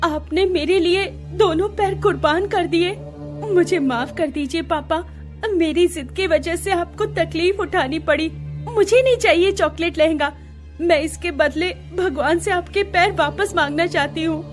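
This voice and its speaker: A woman voices a young bride in an animated tale. She is stubborn and highly emotional, pitched high and pushed, with faint music beneath. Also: unhurried. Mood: tearful pleading. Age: young adult.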